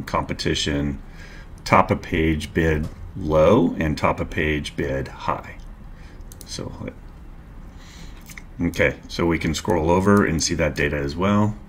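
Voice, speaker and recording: Man, middle-aged, very low voice, calm explanatory tutorial narration, desk mic, faint background hiss. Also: slow pace. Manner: calm, explanatory, walks through clicks step by step. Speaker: marketing instructor. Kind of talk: screen-share tutorial narration.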